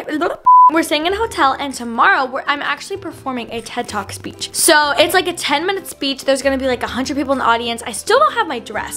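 A high-pitched, bubbly teenage girl talks to a handheld camera held near her face. She chats fast and excitedly about her day. Faint music plays underneath. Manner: bubbly, chatty, quick bursts of excitement. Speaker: vlogger. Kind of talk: casual vlog talk to camera. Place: bedroom at home, car and shopping mall. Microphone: handheld camera close to the face.

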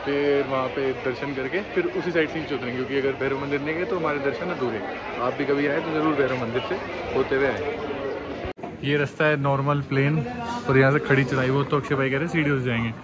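A man's voice: mid-range voice, steady tone, fast talker. A young man chatting to viewers on his phone camera as he walks a crowded pilgrimage path. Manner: chatty, laughing, talks to viewers as guys. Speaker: vlogger. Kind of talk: casual travel vlog. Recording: loud crowd and street noise. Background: crowd and traffic noise.

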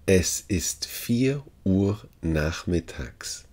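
A man working as a language teacher, reading short phrases deliberately and repeating each one for learners. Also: low voice, animated, darkish tone.

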